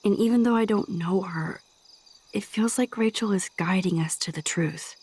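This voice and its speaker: A young American woman playing an earnest, cautious teenage girl in a video game, speaking softly. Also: medium pitch, moderate pace, husky, lightly acted. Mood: earnest, reassuring. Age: young adult.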